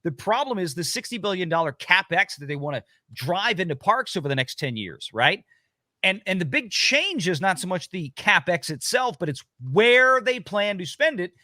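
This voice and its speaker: Bright, high-pitched man commentating casually. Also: sing-song, normal speed, no room sound, studio quality. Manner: incredulous, scornful interjections. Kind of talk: live reaction commentary, casual.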